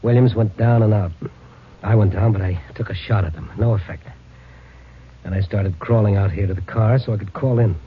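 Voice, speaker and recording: An actor playing a wounded but stoic American patrolman, plain-spoken and halting, in an old radio drama. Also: fairly low pitch, fairly even intonation, lightly acted. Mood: pained, stoic. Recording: thin, narrow, noisy old radio recording.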